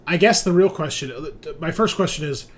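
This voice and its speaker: A man's voice: fairly high pitch, brisk. A man casually running a tabletop game as game master, easygoing and joking in his talk.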